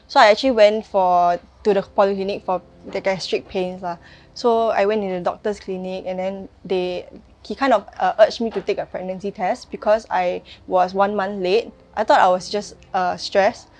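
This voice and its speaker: A woman's voice: medium pitch, moderate pace, a little mellow. Young Singaporean woman, chatty. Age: 20s. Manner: chatty, frequent "like" and "lah" fillers. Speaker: young mother sharing her story. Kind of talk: personal testimony.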